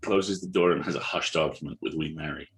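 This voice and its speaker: A man's voice: extremely low pitch, fairly quick, fairly bright tone. A man reading dramatic scripted narration and stage directions as the narrator of a script read-through.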